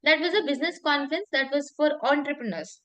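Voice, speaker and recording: High-pitched Indian woman coaching emphatically. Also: fast talker, pushed and bright. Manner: emphatic, repetitive, checks in with "okay?". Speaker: public speaking coach and talk show host. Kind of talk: informal coaching conversation with anecdotes.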